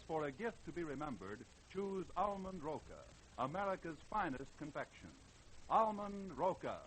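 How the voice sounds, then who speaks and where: A man's voice: fairly high pitch, a bit bright, a little hiss or hum, low-quality recording. A man announcing a show and its sponsor in a 1940s radio advertising style.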